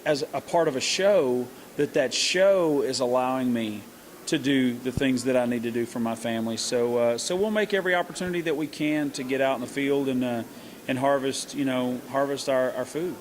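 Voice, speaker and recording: A middle-aged man, a country singer who hosts a hunting show, answering interview questions in an earnest, halting way with many restarts. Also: mid-range voice, a little hiss or hum.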